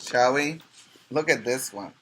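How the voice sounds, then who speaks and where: A male preschool teacher reads a picture book aloud in a bright, forward voice. He takes his time, stressing key words for young listeners. His delivery is expressive and explanatory, with questions put to the children. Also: mid-range voice, unhurried, low-quality recording.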